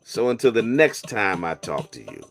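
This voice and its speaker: A man's voice: fairly low pitch, wildly swooping pitch, gravelly, soft background music. A man hosting a casual radio talk show, joking with his co-host and reading church announcements in a loose, playful way. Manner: loose, joking, drawn-out sign-offs.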